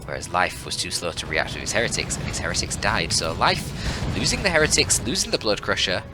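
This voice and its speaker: A man's voice: low-pitched, big pitch swings, a little fast, music in the background. A man giving analytical, informal live game commentary in a casual, mildly sweary, exclamatory way. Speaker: esports caster, channel host.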